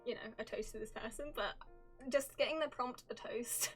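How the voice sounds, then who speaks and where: A woman's voice: on the high side, moderate pace, husky. A woman livestreamer giving casual, chatty game reaction commentary, thinking aloud as she goes. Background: soft game music.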